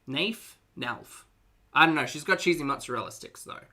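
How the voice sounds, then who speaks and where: Bright-voiced Australian man, chatty livestreamer. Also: on the high side, expressive, normal speed. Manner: chatty and playful, with cutesy slang. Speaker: livestream host and reactor. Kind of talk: casual livestream chat with viewers.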